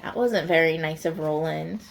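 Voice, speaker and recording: A woman storyteller reading a children's picture book aloud expressively, deliberate and emphatic for young listeners. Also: deep voice, gravelly, slight background noise.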